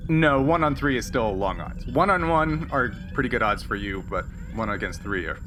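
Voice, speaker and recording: A man acts as game master in a tabletop roleplaying session. His voice is average in pitch, casual and a little hesitant as he improvises. There is clear background noise. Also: animated, normal speed. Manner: hesitant, improvising, chuckling often.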